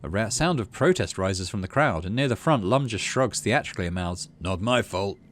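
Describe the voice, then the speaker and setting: Low-voiced man, very animated intonation. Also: brisk, a little hiss or hum. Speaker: gaming video host. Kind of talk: reading game text aloud with casual commentary.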